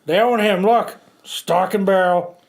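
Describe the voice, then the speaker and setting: A man's voice: sits high, fairly even intonation, unhurried, gravelly. A man playing a chatty henchman coworker in a villain army, casual and joking, in an amateur comic-book reading.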